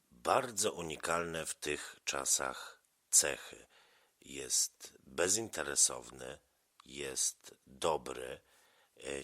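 A man in the role of interviewer, talking conversationally with a child, measured as he sums up and prompts. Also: very deep voice, bright, forceful tone.

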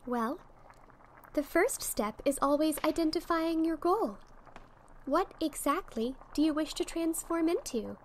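High-voiced, unhurried young witch, played by a woman, gentle and encouraging ASMR roleplay friend, light background noise. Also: animated. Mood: helpful, friendly. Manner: soft, patient, encouraging. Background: fizzing, bubbling sound effects.

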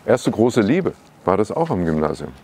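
A man's voice: on the low side, very animated, normal speed, darkish tone, a little hiss or hum. A man hosting a casual outdoor interview, mostly short prompts and questions. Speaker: interviewer and host. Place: outdoors at a schoolyard.

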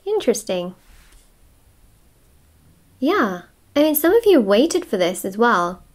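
A woman's voice: fairly high pitch, sing-song, takes it slowly. Conversational woman giving a tarot reading. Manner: conversational, musing, repeats and rephrases herself. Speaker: tarot reader and host. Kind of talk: casual, improvised tarot reading to camera. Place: indoors at a wooden table.

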